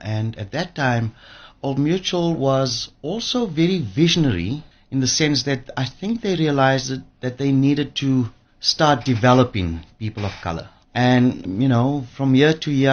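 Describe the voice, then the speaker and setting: A man's voice: mid-range voice, a little slow. Older South African man, slightly muffled radio sound. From Johannesburg, South Africa. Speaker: interview guest, pension fund trustee. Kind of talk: conversational radio interview.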